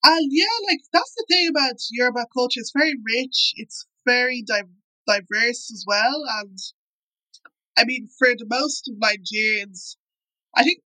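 A young woman from Ireland talks casually as an interview guest, peppering her sentences with "like" and "I suppose". Her voice sounds bright and forward. The recording is dry and close. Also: a little high, measured pace, no room sound, poor audio. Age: young adult. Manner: chatty, lots of "like" and "I suppose".

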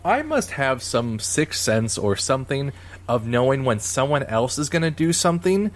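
A man from Missouri co-hosting a podcast in casual chat, talking in a fairly flat, monotone way with lots of "like". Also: mid-range voice, normal speed, a little hiss or hum. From Missouri, USA. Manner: monotone, heavy use of "like". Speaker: podcast co-host.

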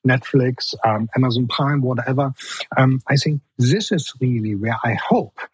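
A man's voice: medium pitch, a little slow, breathy edge, no room sound, poor audio. A man with a strong German accent, a guest in a podcast interview, speaking earnestly and leaning on the word "basically" as he restarts phrases.

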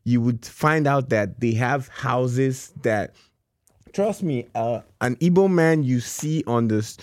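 Male guest, unhurried delivery, dry close sound, casual and emphatic explainer. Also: mid-range voice, darkish tone, no echo. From Nigeria. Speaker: guest in a discussion.

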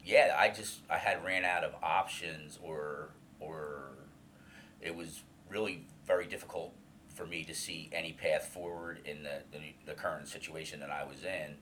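Very deep-voiced man in his fifties. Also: fairly even intonation, moderate pace, a little hiss or hum. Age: 50s. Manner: open, earnest, hesitant with many restarts and fillers; loud voice. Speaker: alumni coordinator, guest. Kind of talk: candid interview about his recovery.

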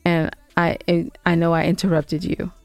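A woman's voice: on the low side, level tone, moderate pace, creaky, no room sound, soft background music. American woman, chatty podcast co-host, dry sound. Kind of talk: casual unscripted podcast banter.

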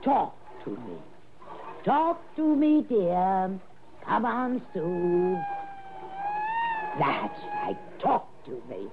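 An actor playing a proud, doting and easily exasperated professor who trains dolphins, coaxing and insistent, in a 1960s radio drama. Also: male voice, sits high, huge pitch swings, very slow, pauses often, heavily acted. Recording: tinny, noisy old radio broadcast.

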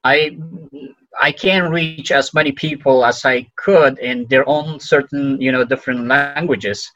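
A man whose first language is Farsi, speaking as a physician guest in an interview, halting and full of fillers and restarts. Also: medium pitch, fairly bright tone, dry recording, muffled recording. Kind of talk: conversational interview.

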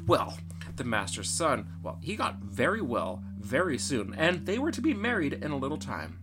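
A man's voice: mid-range voice, very animated, moderate pace, background noise. A man narrating a storybook tale in a playful, theatrical way, with music behind him.

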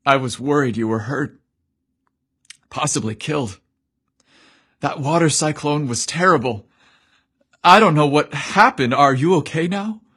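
A man voicing an earnest, excitable young scientist in an emotional, eager manner, as in a romance storybook. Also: fairly high pitch, measured pace, pauses often, slightly rough. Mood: anxious concern.

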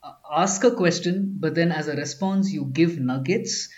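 A man's voice: a little high, normal speed, a little mellow. A man from Kerala co-hosting a conversational podcast, explaining points with frequent restarts and "I mean". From Kerala, India. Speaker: podcast co-host. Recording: dull lo-fi sound.

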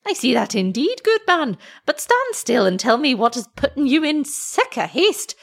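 A woman's voice: high-pitched, very animated, normal speed, gravelly, voice pushed up, full character voice. A woman voicing an anxious, scolding old shepherd's wife in a story, with a Scottish accent and fretful, exclamatory speech. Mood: alarmed, scolding.

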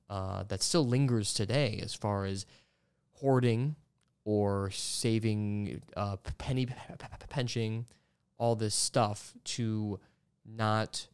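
A man's voice: lowish voice, clear, resonant tone. Stammering, enthusiastic man hosting a podcast. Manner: stammers, repeating sounds and syllables. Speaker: podcast host interviewing a guest. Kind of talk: casual conversational interview.

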